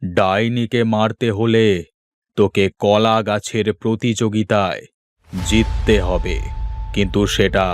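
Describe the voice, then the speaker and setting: A man voicing a kindly old tantric hermit in a cartoon story, solemn, knowing and measured. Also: lowish voice, fairly even intonation, slight background noise. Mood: grave warning. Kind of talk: Bengali cartoon story dub, dramatic.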